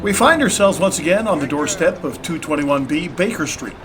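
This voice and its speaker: A man's voice: on the high side, animated, a little fast, music in the background, close to an everyday voice. A man playing a courteous, curious announcer who hosts an audio drama in old-time radio style. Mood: presenting. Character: courteous, curious male announcer visiting the storyteller. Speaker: announcer and guest narrator.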